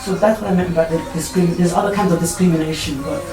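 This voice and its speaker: A woman's voice: lowish voice, steady tone, quick pace. Earnest woman in an echoey room. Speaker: support group member. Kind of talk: group discussion. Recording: echoey room, distant mic, music behind.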